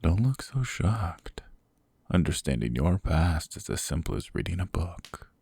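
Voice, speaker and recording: A man voicing a gravelly, whispering demon king. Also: low voice, very animated, darkish tone, dry recording, full character voice. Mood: mocking. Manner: slow, mocking, condescending. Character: cold sadistic male demon king, possessive. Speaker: voice actor. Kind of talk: ASMR roleplay audio.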